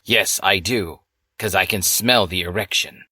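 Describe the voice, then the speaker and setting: A man's voice: deep voice, a little slow, bright, forceful tone, exaggerated voice. A man voicing a crude, dim-witted adult male werewolf in a mocking comedic story reading.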